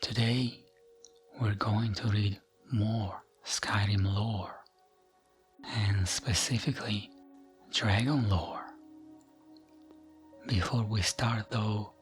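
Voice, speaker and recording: A man with a fairly low, breathy voice that often slips into a whisper. He reads fantasy lore like an ASMR bedtime tale, very slowly and soothingly, over faint background music. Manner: gentle, soothing, unhurried, with frequent pauses. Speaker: ASMR gaming channel host.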